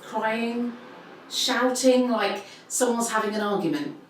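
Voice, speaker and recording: A woman's voice: on the high side, slow. Echoey, distant, guarded pub woman. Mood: uneasy, matter-of-fact. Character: guarded pub worker recounting local ghost tales. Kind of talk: naturalistic audio drama interview. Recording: echoey room, distant mic, faint noise.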